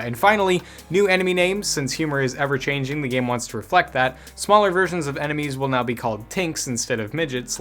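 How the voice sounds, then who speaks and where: A man's voice: medium pitch, fairly bright tone. A man hosting a gaming news show in a casual, conversational way, dropping wry asides as he goes.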